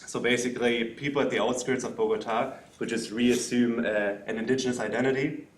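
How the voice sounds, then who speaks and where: A man from the audience asks a long, careful question at a lecture. He speaks loudly and pauses often with "um" and "uh." The recording is roomy and echoing. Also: mid-range voice, fairly even intonation. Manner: loud, hesitant, many fillers. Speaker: audience member asking a question. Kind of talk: spoken question at a talk. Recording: roomy hall sound.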